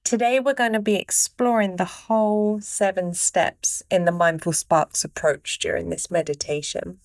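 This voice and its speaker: A woman leading a guided meditation, giving gentle instructions in short phrases with pauses between them. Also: on the low side, steady tone.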